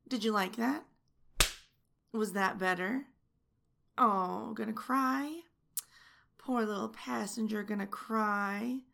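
A young woman playing a sharp-tongued, domineering flight attendant who mocks and taunts. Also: on the high side, gravelly, background noise. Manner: mocking, teasing taunts. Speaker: voice actor in an audio roleplay. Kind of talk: adult audio roleplay.

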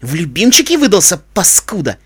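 A man's voice: on the high side, animated, brisk. Man voicing a fiery, emotional young unicorn mare. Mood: furious. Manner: emotional, emphatic. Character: young female unicorn, earnest, fiery, quick to rage.

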